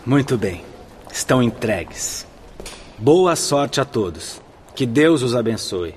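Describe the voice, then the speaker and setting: A man's voice: mid-range voice, very animated, slow pace, slight background noise. An actor playing a courteous, orderly male guide in a radio drama, speaking formal, authoritative Portuguese with a Brazilian accent. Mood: courteous, directing. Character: courteous, orderly male instructor guiding spirit newcomers.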